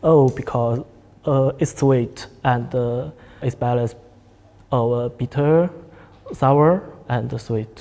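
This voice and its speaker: A man in his early twenties speaking haltingly as a student guest in a panel discussion. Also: medium pitch, warm tone, in a large hall. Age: early 20s. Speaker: student guest, coffee club leader. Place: large indoor cafe-like room. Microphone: lapel.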